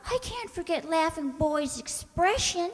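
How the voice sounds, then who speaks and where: A woman playing a naive, soft-hearted young woman in an old-time radio comedy, earnest, literal-minded and pleading. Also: very high-pitched, expressive, unhurried. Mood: pleading, insistent. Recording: hall reverb on stage-like recording.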